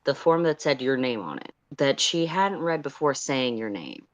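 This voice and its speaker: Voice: medium pitch, very animated, moderate pace, muffled recording. A person of unclear sex narrating fan fiction and chatting casually as a stream co-host.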